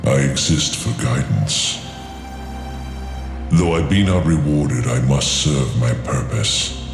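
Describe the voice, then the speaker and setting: Immensely deep ancient dragon, gravelly. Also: male voice, full character voice. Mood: solemn, weary. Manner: archaic, grave, deliberate speech. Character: ancient weary prophetic dragon, male, fatalistic and solemn. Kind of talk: fantasy video game voice acting. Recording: cavernous echo with music underneath.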